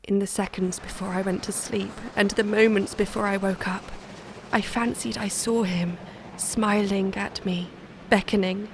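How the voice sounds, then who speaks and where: A woman's voice: mid-range voice, fairly even intonation, unhurried, close to an everyday voice. Haunted, resolute young wife played by a woman, mid-range voice, composed intensity, for horror audio drama. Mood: somber narration. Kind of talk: audio drama acting and narration. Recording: audible background noise.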